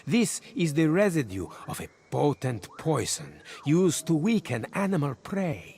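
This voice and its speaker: An actor playing a pious, learned herbalist in a video game, explaining things in a deliberate, scholarly way. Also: male voice, a little high, huge pitch swings, creaky, darkish tone, exaggerated voice. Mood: scholarly, intrigued. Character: learned herbalist healer, pious, earnest and cautious.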